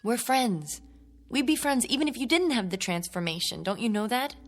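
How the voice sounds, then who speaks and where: A woman's voice: on the high side, lively intonation, moderate pace. An actress playing a troubled, stubborn eighteen-year-old young woman in a radio drama. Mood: guarded.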